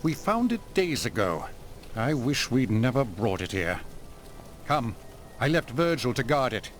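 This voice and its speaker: A man's voice: medium pitch, big pitch swings, a little slow. A weary, regretful villager from a video game. His serious voice rises and falls a lot. Faint music sits behind him. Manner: burdened, serious.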